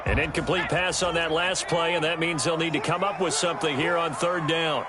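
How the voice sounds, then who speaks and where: An actor playing an American play-by-play announcer calling a football video game in a brisk, polished way. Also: male voice, on the high side, fairly even intonation, loud music behind the voice, lightly acted. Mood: brisk, focused. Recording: game audio under loud arena noise.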